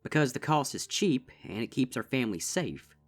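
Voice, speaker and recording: A man's voice: medium pitch, very animated, moderate pace, faint music behind, voice pushed up. A man voicing a stern, protective older-sister farm pony with a drawl. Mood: solemn. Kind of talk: fanfic audiobook reading.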